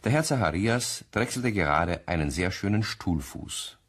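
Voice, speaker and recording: A man narrating a children's radio play in a deep, husky voice, telling the tale in a leisurely storybook way. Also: lively intonation, moderate pace, a bit bright, a little hiss or hum. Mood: storytelling.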